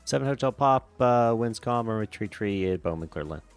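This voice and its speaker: A man with a low, creaky voice speaks as an air traffic controller on a stream. He moves between standard radio calls and informal, relaxed chat. Faint music plays behind him. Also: brisk. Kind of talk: radio phraseology mixed with casual stream chat.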